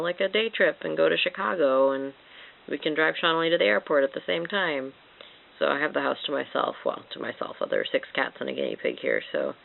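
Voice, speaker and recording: Sick, congested woman with a gravelly low voice. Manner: rambling, self-mocking, talks to cats in high baby voice. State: congested, sore throat, sick. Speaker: vlogger. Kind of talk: casual vlog chat. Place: home, indoors. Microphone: old handheld camcorder. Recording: tinny lo-fi camcorder audio.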